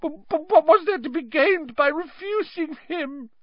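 A man voicing a cringing, cowardly male traitor in a high, whining, tearful, gasping voice, pleading desperately in an audiobook story. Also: expressive, takes it slowly, no echo, muffled recording. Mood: terrified whining. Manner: grovelling, repetitive, desperate pleading.

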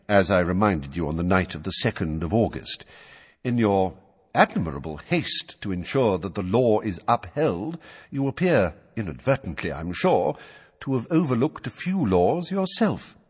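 Courteous elderly wizard voiced by a man, unhurried, lively lilt, echoing hall. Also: medium pitch, husky, pitched above the performer's own voice. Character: calm, courteous old male wizard, coolly polite. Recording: long hall reverb.

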